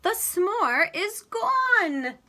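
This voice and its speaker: A woman reading a children's storybook aloud in an expressive, deliberate storytelling manner. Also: very high-pitched. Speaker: storybook reader.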